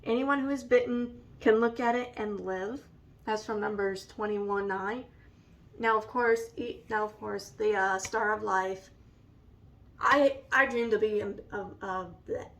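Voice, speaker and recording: A woman with a raspy, allergy-hoarse voice, slowly and hesitantly showing a scrapbook in a casual vlog. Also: medium pitch, fairly bright tone, far from the mic. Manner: slow and hesitant, many ums. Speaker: vlogger. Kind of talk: casual show-and-tell vlog.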